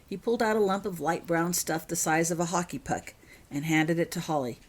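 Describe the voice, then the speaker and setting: A woman's voice: fairly low pitch, a little fast. A woman reading her own fiction aloud as scripted story narration.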